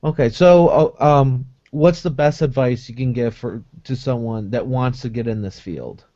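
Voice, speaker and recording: A man's voice: medium pitch, moderate pace, warm tone. A man hosting a casual interview over an online video call, with informal, somewhat hesitant phrasing. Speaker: host. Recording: dull lo-fi internet call audio.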